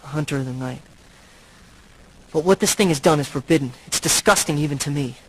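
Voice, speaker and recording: An actor playing a brooding, tormented but noble centuries-old vampire in a video game, speaking in a grave, halting, earnest way. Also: male voice, fairly high pitch, fairly bright tone, a little hiss or hum, lightly acted, poor audio. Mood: pained, confessional.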